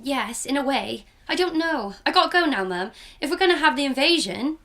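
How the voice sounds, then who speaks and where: A woman voicing a loud, excited little girl in a student radio drama. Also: high-pitched, a little fast, exaggerated voice. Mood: eager. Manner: eager, breathless, giggly.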